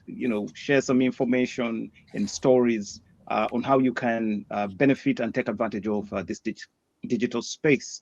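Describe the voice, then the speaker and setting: A man's voice: medium pitch, dry recording. Man co-hosting, dull dry sound. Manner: relaxed, hesitant with many "uh"s. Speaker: co-host. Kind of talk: casual livestream talk. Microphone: video call.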